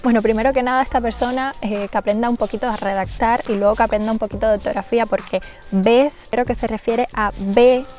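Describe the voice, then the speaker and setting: A woman's voice: fairly high pitch, steady tone, fast, a little mellow. Cuban woman vlogger over background music. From Cuba. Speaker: YouTube content creator. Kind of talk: casual vlog talk responding to viewer comments.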